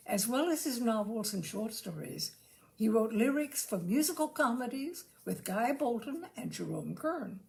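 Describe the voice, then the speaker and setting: A woman hosting a story reading, speaking and reading aloud in an expressive, deliberately phrased way. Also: on the high side, vocal fry. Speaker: host and reader of a short-story reading show. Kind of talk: live literary reading aloud with informal introductions.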